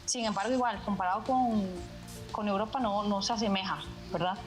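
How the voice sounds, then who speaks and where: A woman's voice: mid-range voice, music in the background. Costa Rican woman on an echoey video call. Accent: Costa Rican Spanish. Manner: measured, fills pauses with "¿verdad?". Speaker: mountain runner guest. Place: at home.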